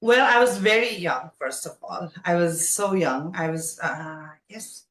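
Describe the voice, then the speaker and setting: A woman's voice: fairly low pitch, a little slow, fairly bright tone. A woman from Nepal speaking effusively as a guest in a casual conversational interview. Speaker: podcast guest, former radio jockey. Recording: roomy, echoey sound.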